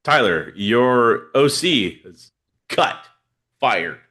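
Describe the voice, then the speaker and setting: A man's voice: medium pitch, very animated, very slow, sharp tone. A man with a head cold, hosting a casual football podcast in a laid-back, rambling way with lots of fillers. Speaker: podcast host. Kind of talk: casual fantasy football chat.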